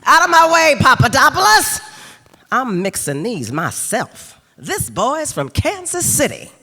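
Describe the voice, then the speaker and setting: A woman playing a big, brassy, bossy gin-mill boss with a rough husky voice and slangy mock-sweet talk in a radio crime play. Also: sits high, big pitch swings, unhurried, sharp tone, exaggerated voice. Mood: boisterous, bossy. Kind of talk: old-time radio crime play acting on stage. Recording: reverberant hall stage recording.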